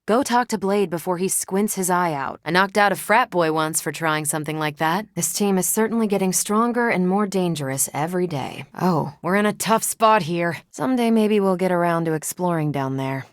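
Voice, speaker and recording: Actress doing a confident american superhero lady from a video game, lowish voice, wry and casual, super dry close recording. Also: normal speed, few pauses, dry recording, lightly acted. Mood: wry, conversational. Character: confident wry military superheroine, adult woman, loyal and brave. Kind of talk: video game character dialogue.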